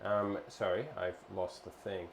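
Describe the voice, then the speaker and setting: Very deep-voiced woman chatting. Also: flat delivery, unhurried. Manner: chatty, hesitant with many ums, reads out viewer comments. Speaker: streamer and co-host. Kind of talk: casual cooking stream chat, reading chat aloud. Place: small home kitchen. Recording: echoey kitchen with faint noise.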